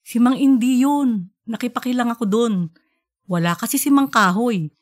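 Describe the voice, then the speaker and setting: A woman's voice: a little high, normal speed, lightly acted. A woman voicing a practical, opinionated wife and mother, chatty and matter-of-fact, in an audiobook story. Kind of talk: audiobook character dialogue.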